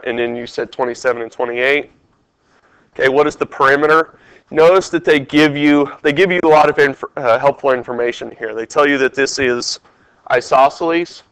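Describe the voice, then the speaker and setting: A man's voice: medium pitch, fairly bright tone, no echo, low-quality recording. Man, stuffy congested voice, math teacher, step-by-step questioning, dry close sound. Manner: talks through steps, quizzing the class. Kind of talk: test review lesson over screen capture.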